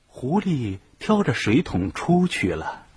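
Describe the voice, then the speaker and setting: A man narrating a children's radio play in an expressive storybook style. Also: medium pitch, takes it slowly, slightly rough.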